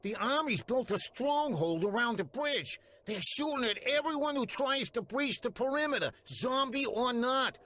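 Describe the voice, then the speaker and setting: A man's voice: very high-pitched, expressive, normal speed, hardly any pauses, bright, forceful tone, heavily acted. A man playing a scheming war-veteran pilot turned gangster in a video game, mock-polite and boastful. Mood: matter-of-fact. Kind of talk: video game cutscene voice acting. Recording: clear engine-like noise behind voice.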